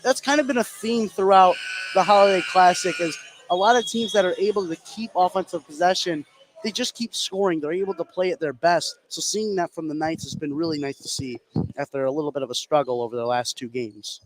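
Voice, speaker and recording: A man's voice: high-pitched, fairly quick, rough recording. A man giving live analysis as the color commentator on a basketball radio broadcast.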